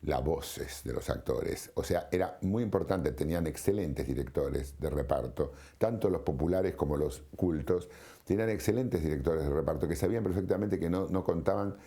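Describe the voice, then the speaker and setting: Very deep, mellow man. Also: moderate pace. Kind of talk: interview explanation.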